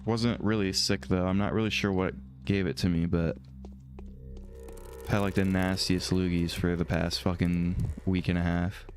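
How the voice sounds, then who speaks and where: Brief: low-voiced male streamer, slightly hoarse, rambling let's-play chatter, faint music underneath. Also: little pitch movement, moderate pace. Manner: rambling, thinks out loud. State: slightly hoarse from a throat infection. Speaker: streamer playing a video game. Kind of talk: casual let's-play commentary, reading dialogue aloud.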